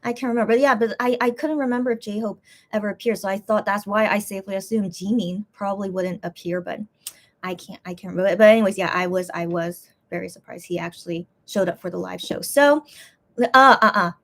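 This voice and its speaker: A woman's voice: mid-range voice, brisk. A woman casually telling a story on a live stream, reading viewer comments aloud and rambling with many restarts. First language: English.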